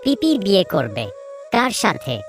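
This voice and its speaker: A woman's voice: mid-range voice, wildly swooping pitch, unhurried, gravelly, exaggerated voice. A woman voicing a curious, innocent little boy in a cartoon story, asking questions in an eager, childlike way. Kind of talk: Bengali cartoon story dialogue. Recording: music under the voice.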